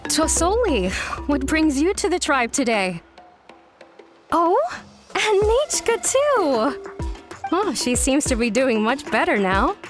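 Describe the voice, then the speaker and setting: A woman's voice: high voice, very animated, takes it slowly, long pauses, over music, heavily acted. A woman voicing a friendly, kindly villager in an anime video game, with a cheerful manner. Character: friendly, generous village woman who loves ores.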